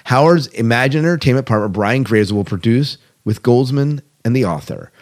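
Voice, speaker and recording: A man's voice: mid-range voice, expressive, brisk, a little mellow. A man hosting a podcast in a casual style, chatting and reading out news with conversational asides. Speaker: podcast host.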